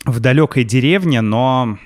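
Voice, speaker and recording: A man's voice: mid-range voice, moderate pace. A laid-back man with a St Petersburg accent co-hosts a casual video conversation. He drifts along with fillers and restarts and breaks into easy laughs. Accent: St Petersburg Russian. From St Petersburg, Russia. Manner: relaxed, chatty, many fillers and restarts, laughs easily. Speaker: video co-host. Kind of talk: casual chat.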